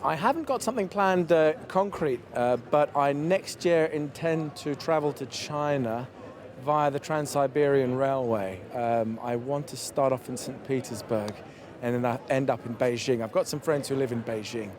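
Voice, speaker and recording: A man interviewed on a London street who speaks thoughtfully, often pausing with fillers as he recalls places. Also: mid-range voice, crowd noise. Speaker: passer-by interviewee. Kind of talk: casual chat.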